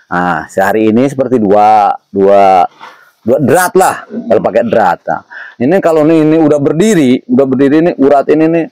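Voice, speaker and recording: A man's voice: mid-range voice, normal speed, dark tone, dry recording. A man, explaining folk remedies casually as an interview guest, repeating himself and often checking in with short tags. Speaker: interview guest sharing traditional herbal remedies.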